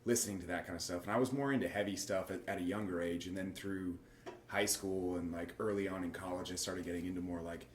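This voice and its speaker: A man, a guitarist guest on a podcast, recalling things in a relaxed, reflective way. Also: sits low, quick pace. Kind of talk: casual interview chat. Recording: echoey room, faint noise.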